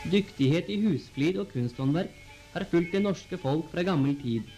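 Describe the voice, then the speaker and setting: A man reading scripted 1940s newsreel narration in a formal, measured announcer style on an old film soundtrack. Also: on the high side, lively intonation, warm tone, faint music behind, low-quality recording. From Norway.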